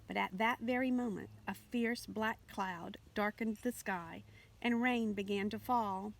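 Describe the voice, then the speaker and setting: A woman reading a children's picture book aloud as an expressive storytelling narrator. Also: medium pitch, unhurried, gravelly. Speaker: storybook reader.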